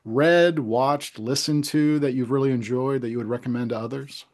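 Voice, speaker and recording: A man with a medium-pitched voice hosts a casual interview. He talks informally and ramblingly, feeling his way tentatively into each point. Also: normal speed, a little mellow. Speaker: interviewer, host.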